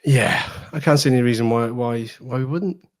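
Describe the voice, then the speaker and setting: A man's voice: mid-range voice, moderate pace. A man chatting casually and with strong opinions as a football podcast guest, emphatic and repeating phrases to drive points home.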